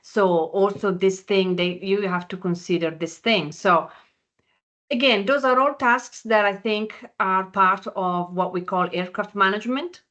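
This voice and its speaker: A woman hosting a podcast in a conversational, agreeable way, speaking haltingly as she puts her thoughts together. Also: mid-range voice, fairly bright tone, muffled recording. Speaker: podcast host.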